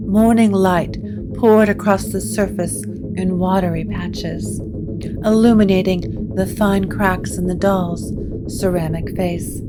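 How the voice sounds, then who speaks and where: A woman narrating a scripted gothic audio drama in a measured, solemn, atmospheric manner. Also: medium pitch, fairly even intonation, loud music behind the voice, low-quality recording.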